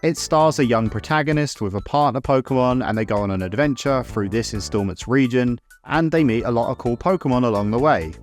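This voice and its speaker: A man with an average-pitched voice narrates a scripted video essay. He is wry and opinionated and drops in casual asides, while music plays behind him. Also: fairly quick, few pauses. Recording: music under the voice. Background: background music.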